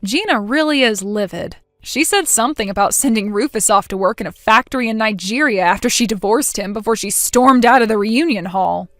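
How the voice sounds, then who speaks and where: A woman voicing a frantic, selfish young woman who talks in shrill, panicky outbursts. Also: expressive, fairly quick, few pauses, fairly bright tone, no room sound, studio quality, faint music behind. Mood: panicked.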